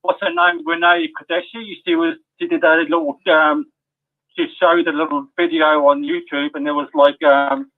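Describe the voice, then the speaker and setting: A man calls in to a talk show and speaks haltingly, often starting his sentences over. His voice is a bit high for a man, and it comes through a thin phone line. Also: steady tone. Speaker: caller. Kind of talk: casual call-in chat.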